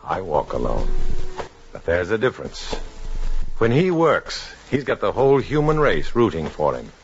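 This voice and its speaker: An actor playing a grim, lonely, hard-boiled undercover agent in a 1950s radio drama. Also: male voice, lowish voice, wildly swooping pitch, moderate pace. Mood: brooding, lonely. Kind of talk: 1950s radio drama acting, first-person narration. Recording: muffled, noisy 1950s radio recording.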